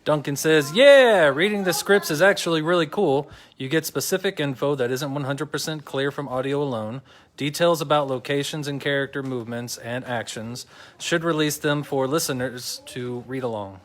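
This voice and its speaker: A man reading out viewer comments on a casual live stream in a loud, announcing way. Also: a little high, moderate pace. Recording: group chatter around the voice.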